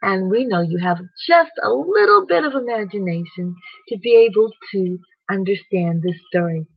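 A woman hosting a children's story time, addressing young listeners in a deliberate, sing-song, questioning way with a husky voice. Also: medium pitch, lively intonation. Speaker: children's bedtime story host. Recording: dull, lo-fi recording.

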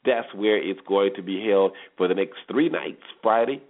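A man's voice: lowish voice, moderate pace. An American man hosting a sports talk show in a conversational, emphatic way, repeating phrases for emphasis. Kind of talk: conversational sports radio commentary. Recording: thin phone-like internet radio line.

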